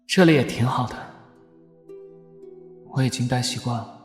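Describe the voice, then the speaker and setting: A man's voice: mid-range voice, normal speed, natural voice. An actor as a weary young swordsman, music behind. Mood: wistful, resigned. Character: weary, gentle young male cultivator, bittersweet. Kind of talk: audio drama acting.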